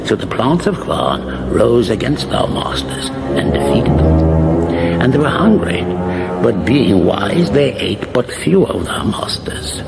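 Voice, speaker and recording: An actor playing a solemn, portentous chronicler, narrating a mock-epic fantasy comedy in a biblical style. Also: male voice, fairly low pitch, big pitch swings, unhurried, creaky, loud music behind the voice, full character voice.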